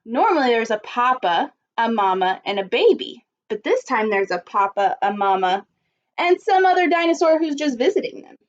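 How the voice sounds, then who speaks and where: A woman teacher reading a children's story aloud expressively and pausing to put questions to her listeners. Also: fairly high pitch, sing-song. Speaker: primary school teacher. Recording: dull lo-fi recording.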